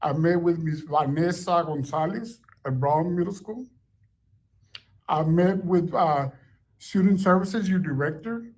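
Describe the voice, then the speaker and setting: A man's voice: fairly high pitch, a little slow, darkish tone. A man, a former school teacher and parent, arguing his point insistently and repeating himself for emphasis. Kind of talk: heated confrontation.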